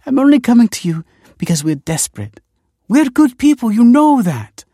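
A desperate woman acted out by a male narrator begs in a high, breathy, emotional voice that swoops about. She sounds imploring and urgent, as in an audiobook drama, recorded close and dry. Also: very animated, normal speed, warm tone, no echo, exaggerated voice. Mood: desperate, pleading. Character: desperate pleading woman begging for a baby.

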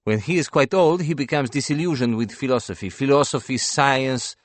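A man's voice: medium pitch, normal speed, no echo, pitched above the performer's own voice, close to an everyday voice. A man voicing a passionate, blunt young woman painter in an audiobook, speaking with earnest emphasis. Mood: animated, intense.

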